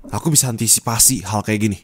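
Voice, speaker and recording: A man's voice: fast talker, husky. A man playing a furious heartbroken young boyfriend. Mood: angry shouting. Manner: scolding, swings from shouting to low bitter muttering. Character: angry heartbroken young man confronting partner. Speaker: roleplay voice actor. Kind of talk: ASMR roleplay.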